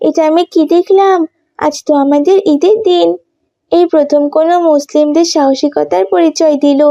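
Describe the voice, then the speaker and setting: Woman voicing high, plaintive young cartoon woman, muffled. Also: warm tone. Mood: fervent, prayerful. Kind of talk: cartoon dialogue acting.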